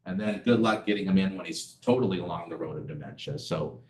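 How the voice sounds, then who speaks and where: Low-voiced man teaching in a roomy hall. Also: quick pace, far from the mic. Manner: conversational, tells personal stories, asks rhetorical "right?". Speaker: community class presenter. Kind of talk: casual educational talk. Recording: roomy echo, voice off-mic.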